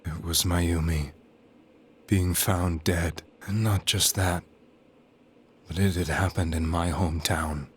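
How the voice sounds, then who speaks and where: An actor playing a despondent, guilt-ridden young man in a video game, telling his story in a grave, halting way. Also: low voice, steady tone, husky, exaggerated voice. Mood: grave, subdued. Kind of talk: dramatic video game voice acting, confessional monologue. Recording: background music under the voice.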